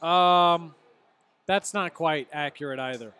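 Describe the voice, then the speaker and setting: A man with a somewhat high voice hosts a live sports stream from a studio mic. He calls the plays between rounds of teasing, argumentative banter with his co-host. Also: slow pace, bright, forceful tone, smooth. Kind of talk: casual sports chat with play-by-play.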